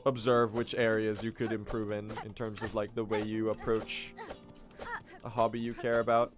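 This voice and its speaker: A young man chatting casually to his stream while speedrunning, self-mocking and quick to laugh, his voice still recovering from strain. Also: on the low side, flat delivery, music in the background.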